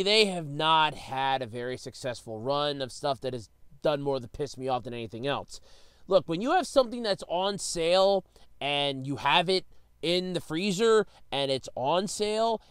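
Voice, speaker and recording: Animated, high-pitched man on a rant. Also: clear, resonant tone, no echo. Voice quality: slightly stuffy from a cold. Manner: exasperated, emphatic, sweary. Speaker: host of a rant video series. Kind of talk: comedic solo rant.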